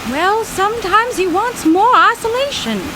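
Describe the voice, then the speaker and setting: A woman's voice: very high-pitched, lively intonation, measured pace. An actress playing a tough, plainspoken, impatient young woman in an audio drama, brusque and sardonic with wisecracks. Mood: matter-of-fact. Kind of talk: audio drama acting in old-time radio manner. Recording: noisy boat engine and storm ambience.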